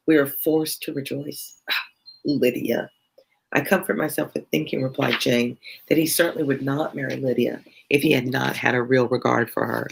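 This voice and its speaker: Middle-aged tutor, gravelly, husky, reads aloud then chats loosely with viewers, self-deprecating. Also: medium pitch, poor audio. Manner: chatty and playful with live viewers, self-deprecating. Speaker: tutor hosting a live read-along. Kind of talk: reading a novel aloud, then chatting with viewers.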